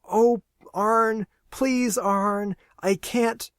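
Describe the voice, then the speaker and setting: A woman's voice: on the high side, steady tone, extremely slow, no echo, studio quality, pitched above the performer's own voice. A man voicing an ecstatic, tearful young woman who speaks breathlessly between sobs. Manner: breathless, sobbing, pleading.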